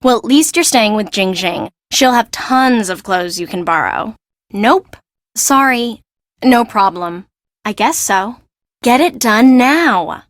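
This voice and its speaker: A woman voicing an exasperated, bossy young assistant to an eccentric fashion designer in a video game, brisk, expressive and sarcastic. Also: fairly high pitch, no echo, studio quality. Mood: breezy.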